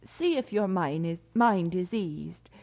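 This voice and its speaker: An actress playing a fond, worrying middle-aged mother in an audio drama, speaking tenderly and deliberately. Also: lowish voice, animated, mellow. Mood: tender, worried.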